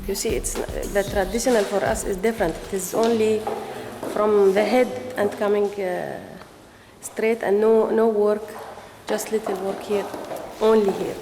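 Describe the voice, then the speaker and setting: A woman interviewed about clothing, answering in halting, broken phrases. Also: medium pitch, steady tone. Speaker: interviewee on fashion. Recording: reverberant room with music. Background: music.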